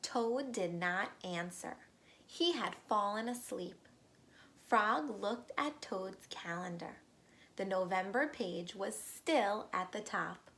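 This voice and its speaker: Slow, slightly creaky woman reading to children. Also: mid-range voice. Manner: expressive, child-directed, pausing for answers. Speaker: read-aloud storyteller for young children. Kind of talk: storybook narration with questions to young listeners.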